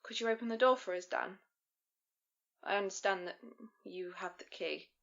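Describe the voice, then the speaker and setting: A woman's voice: mid-range voice, normal speed, creaky, a bit bright, dull audio. A woman acting a determined, restless survivor in a naturalistic audio drama. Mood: tense, practical.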